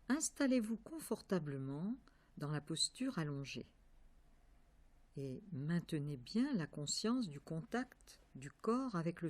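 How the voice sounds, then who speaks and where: Very slow-paced woman guiding relaxation. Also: fairly low pitch. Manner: calm, measured, instructing in short separate phrases. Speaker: yoga nidra instructor. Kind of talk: guided relaxation meditation.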